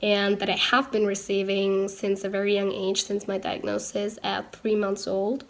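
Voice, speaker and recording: A woman's voice: mid-range voice, completely flat delivery, a little slow, poor audio. A woman in her twenties from Austria giving a personal testimonial to camera. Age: 20s. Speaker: patient sharing personal experience.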